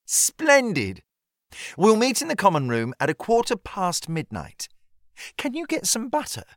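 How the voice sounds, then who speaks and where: A man's voice: high-pitched, huge pitch swings, a little slow, a bit bright, no echo, clean studio sound, voice pushed up, exaggerated voice. A man voicing an eager, bossy young female college student who confides a scheme, in an audiobook. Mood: gushing, conspiratorial. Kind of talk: acted audiobook dialogue.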